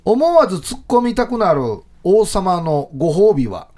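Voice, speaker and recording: A man's voice: high-pitched, very animated, unhurried, dark tone. A man co-hosting a radio show in casual, bantering talk. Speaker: radio co-host.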